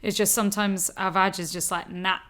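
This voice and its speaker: A woman co-hosting a podcast, chatting casually and explicitly in an animated, sweary, teasing way. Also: medium pitch, fairly quick. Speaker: podcast co-host. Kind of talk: casual, explicit chat between friends.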